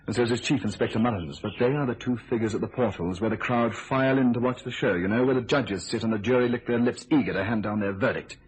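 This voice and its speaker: An actor playing an anxious secret lover in a radio thriller, earnest, soothing and evasive. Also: male voice, medium pitch, quick pace, few pauses, breathy edge, close to an everyday voice, rough recording. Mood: hushed, placating. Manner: earnest, placating, dramatic.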